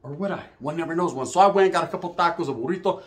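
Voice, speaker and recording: Higher-pitched Chicano man telling street stories. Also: rapid-fire, fairly bright tone. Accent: Chicano English. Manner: slangy, profane, Spanish phrases mixed in, checks in with "right?". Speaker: storyteller. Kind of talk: casual street storytelling, acting out dialogue.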